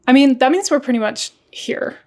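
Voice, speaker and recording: A woman with an American accent chatting casually as a podcast guest, exclamatory and quick to laugh. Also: high-pitched, lively intonation, darkish tone. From United States, raised partly in Germany. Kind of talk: casual conversational interview.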